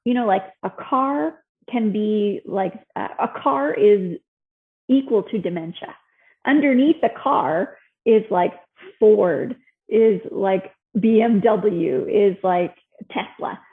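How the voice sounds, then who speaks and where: A woman's voice: mid-range voice, narrow, tinny audio. A woman in her fifties with an American accent, presenting an informal health class in a conversational, explanatory way. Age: 50s. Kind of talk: informal slide lecture.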